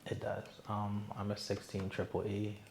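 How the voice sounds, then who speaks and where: A man's voice: fairly low pitch, completely flat delivery, normal speed, vocal fry, echoey room, background noise. A man playing the patient in an ASMR medical roleplay, giving brief, laconic, easygoing answers.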